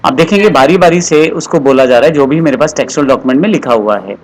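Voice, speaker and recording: Guy doing a computer tutorial, kinda high voice, explains step by step. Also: quick pace. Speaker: software tutorial instructor. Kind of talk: step-by-step screen tutorial narration.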